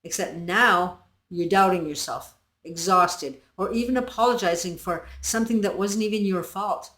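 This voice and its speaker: A woman's voice: lowish voice, moderate pace, fairly bright tone. Congested woman host in an echoey room. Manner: earnest, emphatic, addresses viewers directly. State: congested with flu. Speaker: channel host. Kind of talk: explainer talk to viewers.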